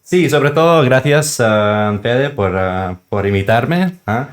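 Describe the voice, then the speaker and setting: A man's voice: medium pitch, expressive, slow pace. A man from Canada speaking with a non-native accent, chatting casually as a podcast co-host and sometimes searching for words. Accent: foreign, non-native Spanish. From born in Serbia, grew up in Canada. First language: not a native Spanish speaker. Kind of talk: casual conversational podcast talk.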